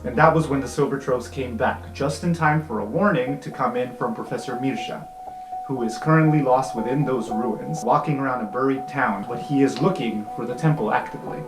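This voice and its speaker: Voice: mid-range voice, brisk. Game master narrating in an echoey room. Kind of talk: improvised tabletop game narration with casual banter. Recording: echoey room with music behind.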